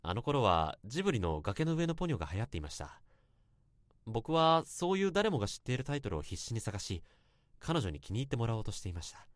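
An actor playing an earnest young office worker who narrates a past infatuation in a radio drama, with polite, literary phrasing. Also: male voice, mid-range voice, very animated, fast, no echo, clean studio sound, lightly acted. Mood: earnest, confessional. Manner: polite, formal, literary storytelling.

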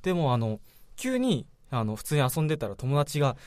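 A man co-hosting a casual radio show, telling anecdotes in an animated way and acting out what people said. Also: medium pitch, a little fast, no echo. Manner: animated storyteller, acting out quoted speech.

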